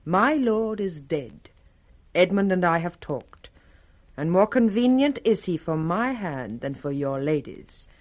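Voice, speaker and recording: A woman's voice: fairly low pitch, animated, measured pace, darkish tone, highs cut off. An actress playing a cold, jealous, scheming noblewoman, commanding and insinuating, with a British accent in 1960s radio Shakespeare.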